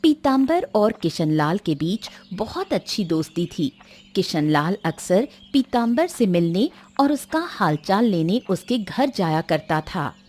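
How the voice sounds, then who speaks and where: Woman, story narrator, scripted delivery, animated intonation, music underneath. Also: medium pitch, measured pace.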